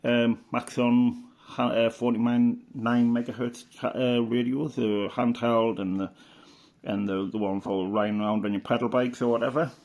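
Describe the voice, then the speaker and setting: A middle-aged man from the UK, a radio hobbyist chatting casually through an old catalogue, rambling and unhurried with lots of fillers and restarts. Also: mid-range voice, flat delivery, a little mellow. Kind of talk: casual reminiscing commentary.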